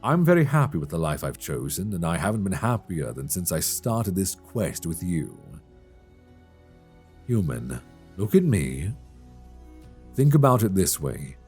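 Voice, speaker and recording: A man playing a calm, reassuring elf adventurer in an intimate fantasy ASMR roleplay, speaking gently and soothingly close to a binaural microphone. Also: deep voice, breathy edge, soft background music, full character voice. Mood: tender, encouraging. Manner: gentle, soothing, measured delivery.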